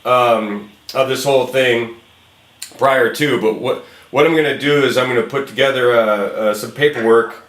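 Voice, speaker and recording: A man's voice: medium pitch, steady tone, normal speed, a bit bright. A man speaking casually and encouragingly as a film director, in an informal, conversational way. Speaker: film director casting an actor. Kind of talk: casual phone call and talk to viewers. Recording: roomy, echoey room sound.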